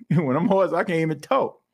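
A young man hosting a podcast in casual chat, laughing often and slipping into animated impressions. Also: on the high side, moderate pace, gravelly, slightly rough. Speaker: podcast host. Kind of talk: casual chat reading live comments.

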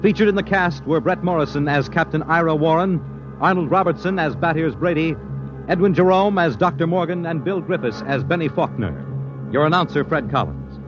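A man's voice: fairly high pitch, fairly quick. An American man working as a radio announcer, formal and theatrical in a scripted 1950s style. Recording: dull vintage radio broadcast with music.

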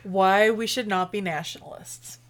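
A woman co-hosting a casual chat, dropping deadpan jokes. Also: medium pitch, moderate pace. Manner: deadpan jokey asides. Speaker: podcast co-host.

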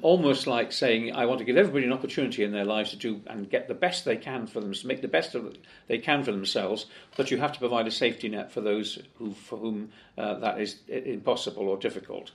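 An older man with a gravelly edge to his voice talks easily as an interview guest. He speaks at a middling pitch, circling back with fillers and restarts as he goes. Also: fast. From Wales. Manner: chatty, with many fillers and restarts. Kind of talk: conversational interview. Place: office in Parliament.

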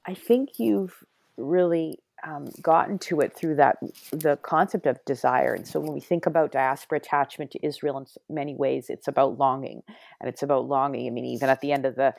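Middle-aged woman author, reflective, halting interview answers, dry close mic. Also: very deep voice, vocal fry, a little mellow, no room sound. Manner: reflective, many restarts and hedged pauses.

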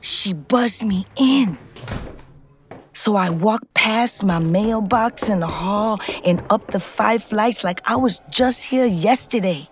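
A woman's voice: mid-range voice, slow pace, slight background noise, lightly acted. An actress playing a streetwise middle-aged female ex-convict, telling her story in a profane, intense, conversational audio drama monologue. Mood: reflective. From New York City, United States.

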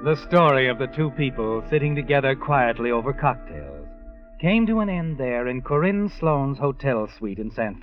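An actor playing an ominous storyteller, narrating a 1940s-style radio mystery in a measured, dramatic way. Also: male voice, medium pitch, natural voice. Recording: muffled vintage radio recording. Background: music.

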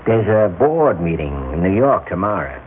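An actor in a classic radio drama playing a smug, falsely genial, patronizing crime syndicate boss. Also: male voice, sits low, sing-song, normal speed. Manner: patronizing, mock-friendly. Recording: tinny, noisy old radio broadcast.

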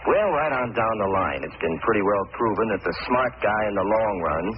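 A man's voice: fairly low pitch, animated, fairly quick, lightly acted. An actor playing a chatty, self-satisfied condemned man narrating in folksy 1940s radio drama style. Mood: wry, reflective. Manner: folksy, conversational. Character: chatty, self-satisfied condemned male murderer telling his tale. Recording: muffled, hissy old radio recording.

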